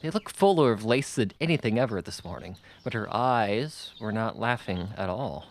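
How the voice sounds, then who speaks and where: A man's voice: lowish voice, expressive, normal speed, a little hiss or hum. A man reading a children's novel aloud as scripted audiobook narration. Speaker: audiobook reader.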